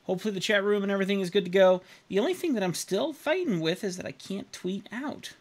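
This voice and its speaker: Chatty man with a fairly high voice. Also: fast. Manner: rambling, self-deprecating, chuckles often. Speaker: caricature artist streaming. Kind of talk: casual live-stream narration while painting. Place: home studio room indoors.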